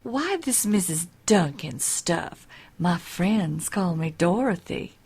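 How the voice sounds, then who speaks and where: A woman's voice: lowish voice, lively intonation, takes it slowly, darkish tone, slightly rough, exaggerated voice. An actress playing a brazenly flirtatious politician's wife in a comedy audio drama, coy and teasing as she pursues a man. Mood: seductive.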